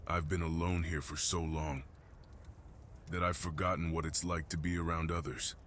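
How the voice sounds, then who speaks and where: Casting: male actor as gentle, lonely mountain guardian beast for ASMR fantasy roleplay; very low register, solemn and reassuring, some background ambience. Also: a little hiss or hum. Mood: tender, comforting. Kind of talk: ASMR fantasy roleplay, slow intimate monologue.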